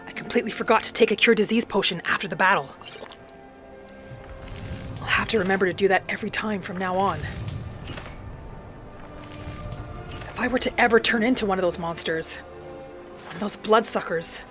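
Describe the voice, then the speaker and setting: An earnest young battle mage, bent on vengeance, thinks aloud in a fantasy game roleplay, played by a woman. The delivery is dramatic and deliberate, at a medium pitch, with faint music underneath. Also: fairly bright tone, close to an everyday voice. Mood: dismayed. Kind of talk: first-person fantasy roleplay narration over gameplay.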